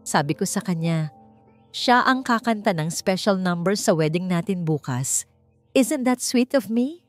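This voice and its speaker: A woman's voice: on the low side, expressive, unhurried. A woman voicing a cruel, haughty rich bride in an audio drama, taunting and falsely sweet, over music. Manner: taunting, switches to sugary sweetness.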